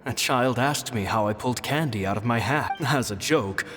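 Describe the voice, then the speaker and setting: A man voicing a kindly, modest stage magician in a video game, narrating his journal in a gentle, reflective way. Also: mid-range voice, moderate pace, natural voice. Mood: amused, fond. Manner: gentle, storytelling. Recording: long reverb, music behind.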